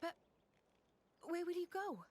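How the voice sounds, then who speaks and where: A woman's voice: extremely high pitch, very animated, takes it slowly, darkish tone, exaggerated voice. A woman playing a blunt, fiery girl companion who berates and scolds in a video game cutscene. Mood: concerned.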